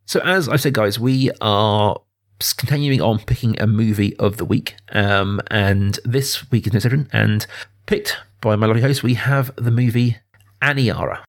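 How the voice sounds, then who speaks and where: Low-pitched man chatting as podcast co-host. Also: normal speed. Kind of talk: casual film podcast chat.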